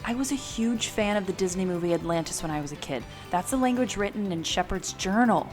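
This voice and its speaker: A woman's voice: mid-range voice, expressive, fairly quick, music in the background, close to an everyday voice. A woman playing a curious, enthusiastic and slightly bossy adventurer in an audio drama. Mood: excited. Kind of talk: scripted audio adventure acting.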